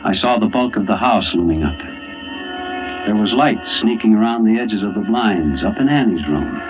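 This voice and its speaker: A man's voice: lowish voice, animated, moderate pace, natural voice. An actor playing a weary, dogged family man in an old-time radio drama, with brooding, hard-boiled delivery. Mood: tense, grim. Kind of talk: old-time radio first-person narration and acting. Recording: dull old radio recording. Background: dramatic music.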